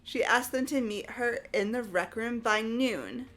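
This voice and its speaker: A woman's voice: a little high, unhurried, fairly bright tone, soft background music. A woman voicing an insistent, grieving teenage girl in a video game, speaking earnestly and emphatically. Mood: upset, regretful. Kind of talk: amateur video game voice acting.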